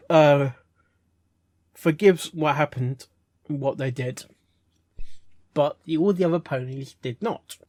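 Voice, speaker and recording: A man giving casual, unscripted reaction commentary as a host, halting and rambling, often repeating words and phrases. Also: fairly high pitch, dry recording, clean studio sound. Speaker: host reviewing fan fiction readings.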